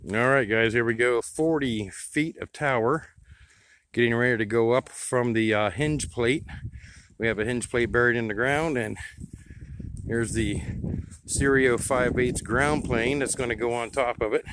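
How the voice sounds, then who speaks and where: A man's voice: mid-range voice, unhurried, a bit bright, a little hiss or hum. An American man narrating a hobby vlog in a casual, plain-spoken, informal way. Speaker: radio hobbyist vlogger.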